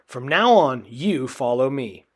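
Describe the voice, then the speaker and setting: A man's voice: medium pitch, animated, unhurried. A man voicing a plucky, crafty child in a storybook, speaking emphatically and persuasively. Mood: firm.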